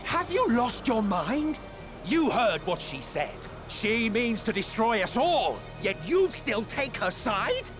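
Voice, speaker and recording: A high male voice that swings enormously in pitch as an outraged, defiant man in a fantasy game. He is emphatic and theatrical, arguing heatedly over music. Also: big pitch swings, slow, exaggerated voice. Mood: indignant, outraged. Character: indignant, defiant man arguing against doom. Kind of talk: dramatic video game acting. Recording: music behind the voice.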